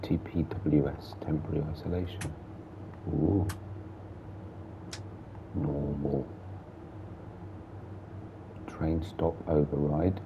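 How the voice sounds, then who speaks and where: A man chatting casually while driving a train in a simulator game, gravelly with a sore throat and blocked nose, muttering through the controls. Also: a bass voice, steady tone, takes it slowly, pauses often, highs cut off, background noise. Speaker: gameplay streamer. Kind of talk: casual train sim commentary.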